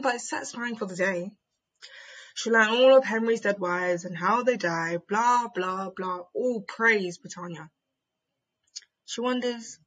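A young woman performing a spoken word piece in a measured, rhythmic way, then chatting on a podcast. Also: medium pitch, fairly bright tone, slightly rough, low-quality recording. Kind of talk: spoken word reading, then casual discussion.

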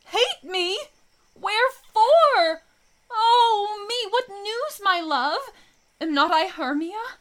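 Heartbroken young sweetheart for Shakespeare radio drama, played by a woman: extremely high, bright voice, plaintive and bewildered. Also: lively intonation, slow pace, exaggerated voice. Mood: distraught, pleading. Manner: plaintive, theatrical, questioning. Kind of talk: amateur Shakespeare radio play acting.

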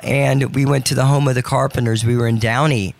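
A man who performs as a guest on a radio show, his voice lowered by allergies, talking in a chatty, enthusiastic way. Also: steady tone, brisk, gravelly. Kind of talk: casual radio interview. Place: radio studio.

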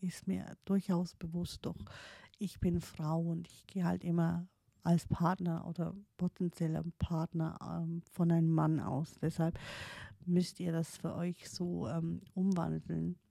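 A woman's voice: lowish voice, flat delivery, takes it slowly, gravelly, dark tone, no room sound, studio quality. A woman in her late thirties with a Swiss accent, German not her first language, musing hesitantly about her past with many fillers and restarts. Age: 30s. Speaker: streamer. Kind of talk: reflective personal storytelling.

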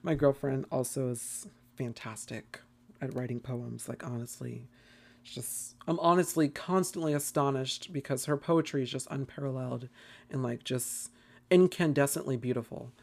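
A woman's voice: very deep voice, moderate pace, gravelly, darkish tone. A woman hosting a solo podcast in a casual, rambling way, with a gushing, theatrical and emphatic manner. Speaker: podcast host.

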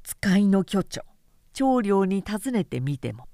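A woman narrating a literary audiobook in a measured, expressive, dramatic storytelling manner. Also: medium pitch, dry recording, clean studio sound. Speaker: narrator, reader.

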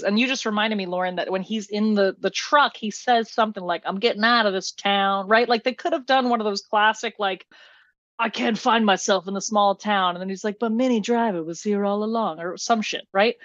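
A woman's voice: mid-range voice, fairly quick, no room sound. Dry, slightly muffled, joking woman. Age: 30s. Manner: sarcastic, joking, sweary. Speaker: film podcast co-host. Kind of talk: casual banter.

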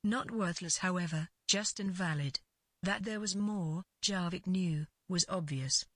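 Husky low-voiced woman narrating fiction. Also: unhurried, fairly bright tone, no echo, studio quality. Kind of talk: scripted literary fiction narration.

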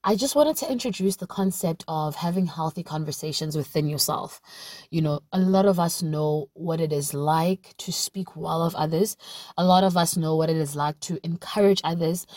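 A young woman hosts her own podcast in a low, husky voice that is deeper than usual after just waking up. She talks in an earnest, reflective way and draws words out to stress them. The recording is dry and close, with faint music in the background. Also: a little mellow, dry recording. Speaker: podcast host. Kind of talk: solo podcast monologue.

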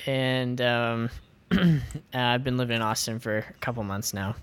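A man's voice: mid-range voice, steady tone, a little slow, clear, resonant tone. A young man from Washington, D.C., a band member, chatting on a podcast and hesitating often with fillers. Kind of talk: casual podcast chat.

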